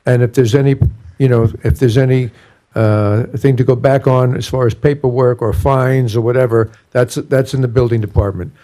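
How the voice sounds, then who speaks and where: A man's voice: medium pitch, fairly even intonation, dark tone. A man chairing a village planning board meeting. He speaks hesitantly, with frequent fillers and restarts. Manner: hesitant, halting, with many fillers.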